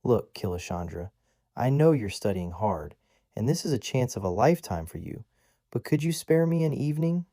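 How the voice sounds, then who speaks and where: An earnest young man, as a man plays him speaks in a low, mellow voice with a faintly condescending, older-sibling manner. His tone rises and falls with feeling. The sound is dry and close. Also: very animated, normal speed, no room sound, close to an everyday voice.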